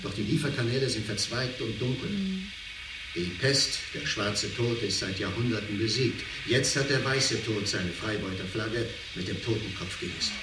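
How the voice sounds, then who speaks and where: A man's voice: on the low side, moderate pace, natural voice. A man playing a grave, urgent field reporter delivering a formal dramatic report in a science fiction radio drama. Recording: echoey, distant, noisy field broadcast.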